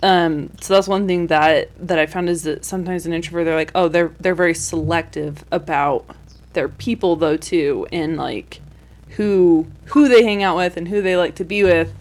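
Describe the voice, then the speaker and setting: A woman's voice: on the low side, fairly even intonation, normal speed, darkish tone. Chatty woman podcast host. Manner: chatty, with many fillers. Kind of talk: casual conversation.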